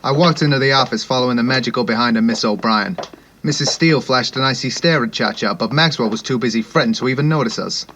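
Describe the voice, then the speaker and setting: Swaggering noir gumshoe, acted by a man, wry and slangy, forceful tone, 1950s radio spoof. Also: medium pitch, quick pace, faint music behind, close to an everyday voice. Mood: cocky, wry. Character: cocky hardboiled male private eye. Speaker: narrator and lead detective.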